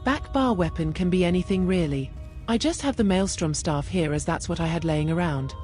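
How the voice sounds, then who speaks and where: A woman narrating a scripted gaming build guide in a measured, explanatory way. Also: lowish voice, darkish tone. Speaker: gaming video creator and narrator. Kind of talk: game build guide voice-over. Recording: music behind the voice. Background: music.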